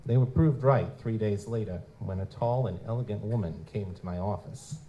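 A man's voice: lowish voice, normal speed, highs cut off, slight background noise, lightly acted. A man playing a weary, wisecracking private eye in a noir audio drama, tough, slangy and sardonic. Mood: wry, weary. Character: street-level green goblin-like male private detective, world-weary, wisecracking, wary. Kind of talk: hardboiled noir audio drama narration and dialogue. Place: live stage performance at a convention.

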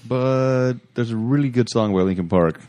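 A man's voice: medium pitch, normal speed, dark tone, highs cut off, slight background noise. A man co-hosting a podcast, chatting casually and throwing in teasing jokes. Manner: joking, teasing interjections. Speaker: podcast co-host. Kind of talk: casual chat.

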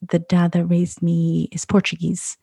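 A woman's voice: on the low side, completely flat delivery, measured pace, creaky, warm tone, slightly rough, dry recording, clean studio sound. A woman guest on a podcast, talking casually about her family, laughing easily and repeating words as she goes. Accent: London English. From Curacao. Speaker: podcast guest, food photographer. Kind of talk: casual conversation.

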